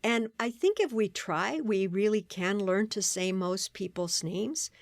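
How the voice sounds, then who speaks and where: A woman guest on a podcast interview, telling stories in an animated way and laughing often. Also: mid-range voice, measured pace, no room sound, clean studio sound. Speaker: guest, playwright and performer.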